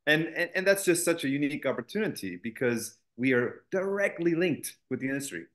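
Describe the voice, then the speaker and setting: A man's voice: a little high, big pitch swings, moderate pace, a bit bright. A man, a college executive as interview guest, speaking fluently with confident, joking ease. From United States. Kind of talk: interview conversation.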